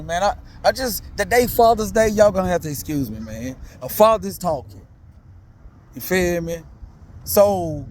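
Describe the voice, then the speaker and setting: A man with a rather high voice tells his own life story in loose, slangy, animated talk. He circles back, repeats phrases, and keeps checking that the listener follows. A faint noise sits under the recording. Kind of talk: candid testimonial talk.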